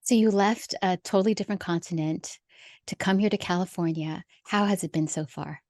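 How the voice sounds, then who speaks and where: A woman hosts an interview, putting short and direct questions to her guest. Her voice is low-pitched and mellow, recorded dry and close. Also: fairly quick, no echo. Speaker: podcast host.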